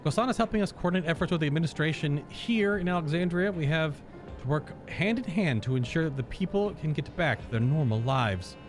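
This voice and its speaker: A man reading game dialogue aloud as an earnest, dutiful fantasy character with formal, measured wording. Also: on the high side, lively intonation, soft background music, natural voice. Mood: concerned.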